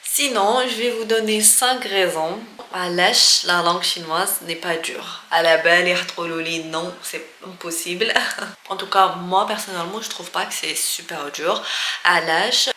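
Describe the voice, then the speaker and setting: Bright-voiced Algerian woman in an echoey room. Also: lowish voice, measured pace. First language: Arabic and French. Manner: informal, mixes Algerian Arabic into her talk. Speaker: vlogger giving language tips. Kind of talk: casual educational talk to camera. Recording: echoey room with faint noise.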